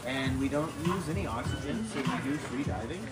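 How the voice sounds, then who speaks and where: A man from Texas explaining his fishing method in a relaxed interview, with many fillers. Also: mid-range voice, normal speed, loud music behind the voice. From Texas, USA. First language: English. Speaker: guest spearfisher. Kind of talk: casual interview.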